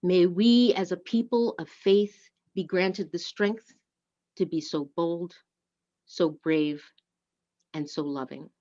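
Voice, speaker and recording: A woman leads worship in a slightly low voice, offering solemn prayer at a slow, deliberate pace. The recording is a little dull and muffled. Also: mellow. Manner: measured, deliberate. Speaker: worship service leader. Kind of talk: solemn prayer and invitation to reflection.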